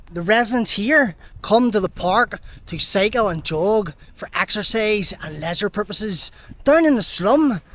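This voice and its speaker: A man's voice: sits high, a bit bright. Upbeat Belfast man vlogging outdoors. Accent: Belfast Northern Irish. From Northern Ireland. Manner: enthusiastic, upbeat presenter. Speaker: travel vlogger. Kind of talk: travel vlog narration and interview. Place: outdoors in a city.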